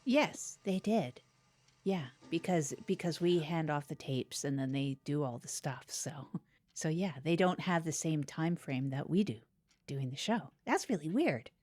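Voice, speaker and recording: Woman playing an earnest public access radio co-host, lowish voice, sorry about everything, keeps repeating herself. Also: lively intonation, a little mellow. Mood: bemused. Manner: apologetic, halting, repeats phrases. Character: earnest, easily tearful co-host of a small public-access show. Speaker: podcast co-host. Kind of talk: improvised comedy radio chat.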